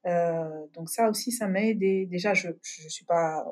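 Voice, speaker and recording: A middle-aged woman from Côte d'Ivoire talks through her career in a relaxed, thoughtful way, filling gaps with hesitations and restarts. Her voice sits fairly low and has a slightly husky, breathy grain. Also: measured pace. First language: not English. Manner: thoughtful, many fillers and restarts, laughs easily. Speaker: podcast guest, company founder. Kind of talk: relaxed conversational interview.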